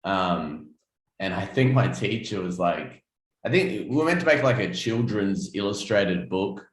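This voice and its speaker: A man's voice: deep voice, animated, unhurried, roomy sound. A man in his twenties with an Australian accent, a guest artist chatting casually in an interview, laid-back with many ums and restarts. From South Australia.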